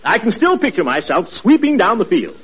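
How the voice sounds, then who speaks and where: A man's voice: high-pitched, wildly swooping pitch, a little fast, a bit bright, husky, exaggerated voice. A man playing a pompous, blustering middle-aged neighbor in a 1940s radio comedy. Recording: muffled old radio broadcast.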